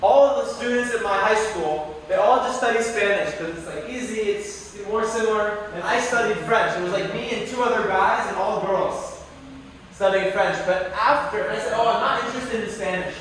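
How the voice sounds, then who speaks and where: A man's voice: sits high, moderate pace, fairly bright tone. A man speaking as a guest in a university classroom, chatty and rambling, often asking students questions. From United States, near New York City. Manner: chatty, rambling, many fillers and self-corrections. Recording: echoey room, distant mic, faint noise.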